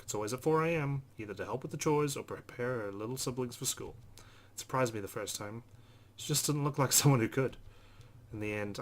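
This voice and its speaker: A man hosting a let's play, reading visual novel narration aloud expressively with casual asides. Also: lowish voice. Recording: faint background noise.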